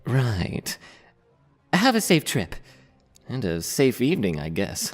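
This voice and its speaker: A man's voice: mid-range voice, wildly swooping pitch, takes it slowly, full character voice. Man playing a prim, swooping-voiced shop assistant. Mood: hesitant, concerned. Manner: prim, hesitant, polite. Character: fussy, polite, reserved male shop assistant. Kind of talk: fan audio drama acting.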